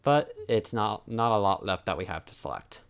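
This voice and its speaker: A man's voice: on the low side, animated, normal speed, clean tone. A man hosting a livestream, chatting casually and thinking aloud in an offhand way as he works. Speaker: livestream host, 3D artist. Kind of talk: casual livestream chat while working.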